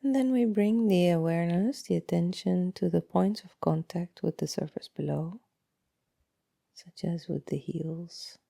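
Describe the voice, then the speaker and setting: A woman leading a guided body scan meditation in a soft, breathy voice, calm and unhurried, often trailing into a near-whisper with long pauses. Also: lowish voice, no echo, studio quality.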